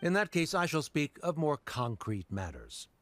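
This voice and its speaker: A man's voice: fairly high pitch, big pitch swings, moderate pace, over music. A man voicing a haughty male academic in a video game, formal, pompous and condescending. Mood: stern.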